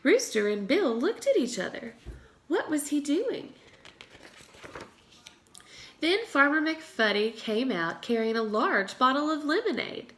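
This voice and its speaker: A woman reading a children's storybook aloud in an expressive way, as a teacher does for young children. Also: high-pitched, takes it slowly, pauses often.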